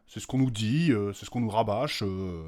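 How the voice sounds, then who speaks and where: A man's voice: on the low side, normal speed, darkish tone, natural voice. A man playing a prim, polite, pious adventurer who is easily flustered, formal and fussy, in a comic fantasy audio drama. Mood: reluctant.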